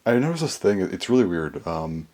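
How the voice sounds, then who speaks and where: Young male YouTuber, hoarse and very deep-voiced, rambling casual vlog chat. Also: very animated, normal speed, a little mellow. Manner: rambling, full of fillers and restarts. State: hoarse, voice mostly gone.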